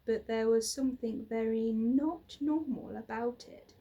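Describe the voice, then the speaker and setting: Female storybook reader, 30s, slightly high and husky, dramatic emphasis. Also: unhurried, dark tone. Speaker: teacher reading a children's book aloud. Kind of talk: expressive storybook narration. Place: small plain indoor room.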